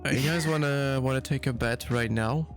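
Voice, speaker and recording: Male gaming podcast host, noticeable vocal fry, blunt informal talk, faint music bed. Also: mid-range voice, normal speed. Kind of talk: casual gaming discussion.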